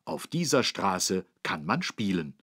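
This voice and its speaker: A man playing a patient, friendly instructor, narrating for children in an encouraging, didactic way with a husky voice. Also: low voice, big pitch swings, takes it slowly, a bit bright, no echo, studio quality, heavily acted. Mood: instructive. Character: friendly, patient adult man teaching children road safety. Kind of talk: scripted children's educational narration.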